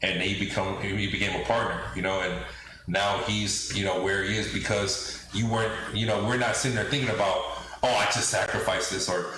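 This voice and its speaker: Brief: man, 30s, from Texas, earnest guest, low flat voice, very roomy echo. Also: brisk, a little hiss or hum. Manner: earnest, leans on "you know", polite "yes, sir". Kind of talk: casual interview talk.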